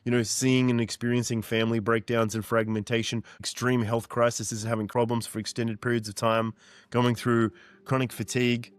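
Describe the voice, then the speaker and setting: A man's voice: mid-range voice, little pitch movement, normal speed, dry recording. A man in his forties talking earnestly and directly to the listener as a mindset coach, pressing points through repetition. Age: 40s. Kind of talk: motivational monologue.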